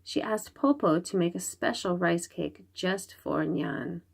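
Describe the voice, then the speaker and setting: A woman reading a children's story aloud, expressive and dramatic, drawing out her words. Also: fairly low pitch, a little slow, creaky, breathy edge. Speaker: storybook reader.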